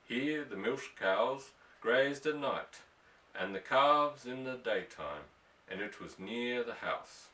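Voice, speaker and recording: A man's voice: medium pitch, lively intonation, slow, pushed and bright. A man reading a story aloud as an audiobook narrator, in a scripted narration style. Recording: echoey room, distant microphone.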